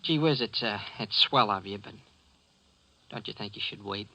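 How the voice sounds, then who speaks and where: An actor playing an uneasy, evasive teenage son in a 1940s radio drama, with a hesitant, stammering, boyish manner. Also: male voice, lowish voice, normal speed, bright, forceful tone, dry recording, poor audio. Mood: nervous, evasive.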